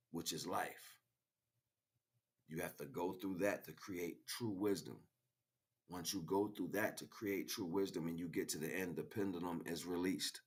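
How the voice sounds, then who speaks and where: Really deep voiced guy doing an off the cuff spiritual talk, preachy, talks right at you. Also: a bit bright. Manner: preaching, addressing listener directly with repeated phrases. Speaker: spiritual teacher. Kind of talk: impromptu spiritual monologue.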